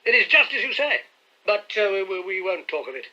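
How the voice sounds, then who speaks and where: A man reading an audiobook, voicing a nervous older country gentleman who exclaims with agitation. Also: sits high, big pitch swings, fairly quick, voice pushed up, heavily acted. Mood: agitated. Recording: dull lo-fi audiobook recording.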